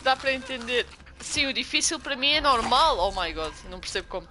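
A woman's voice: fairly high pitch, lively intonation, a little fast, sharp tone, a little hiss or hum. A young woman from Portugal with a strong European Portuguese accent, streaming a game and chatting with viewers. She gets jumpy and swears when startled. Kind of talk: casual gameplay commentary.

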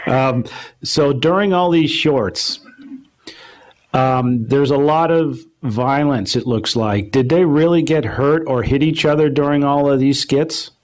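A man interviews a guest, keeping to brief questions and quick acknowledgements. His voice is mid-pitched and plain. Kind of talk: radio club interview.

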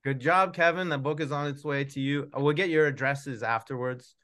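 A man's voice: medium pitch, steady tone, brisk, a bit bright. A middle-aged man, casually hosting an online event from a room at home. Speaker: host, puppeteer and author. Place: home room on a video call.

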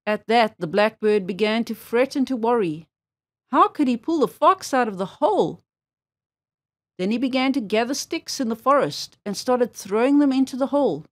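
A woman reads a children's story aloud. Her voice sits a little high and moves with animated intonation, phrasing each sentence expressively as a storyteller. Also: normal speed.

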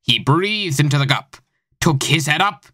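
Bright, theatrical teenage boy narrating. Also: a little high, animated, normal speed, dry recording. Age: teens. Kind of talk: dramatic scripted comic narration.

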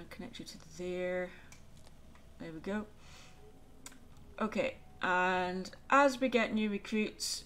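A woman's voice: mid-range voice, fairly bright tone, a little hiss or hum. A young woman chatting casually and thinking aloud while playing a game. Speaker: let's play host. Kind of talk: casual gameplay commentary.

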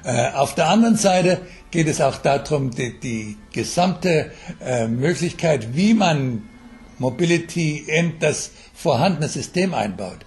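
An older man answering interview questions as an expert, explaining in a halting way with English jargon mixed in. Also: a little high, lively intonation, darkish tone. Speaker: mobile health expert being interviewed. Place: telephone interview.

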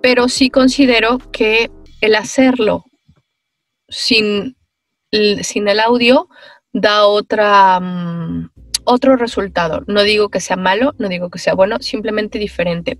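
A woman, a voice actress answering interview questions on a video call, thoughtful and animated, with many pauses and fillers. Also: mid-range voice, no echo, faint music behind. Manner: animated, gestures while talking. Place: small room with foam panels.

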